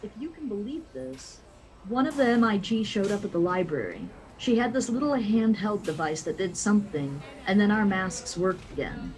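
A woman's voice: mid-range voice, animated, moderate pace, darkish tone, close to an everyday voice, poor audio. A woman acting a composed, resolute community leader and mother in an amateur radio drama. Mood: relieved, explaining.

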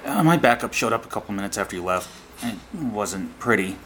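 A man's voice: on the low side, expressive, a little fast, fairly bright tone. A man acting an easygoing, polite, understated agent in an amateur radio drama. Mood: wry.

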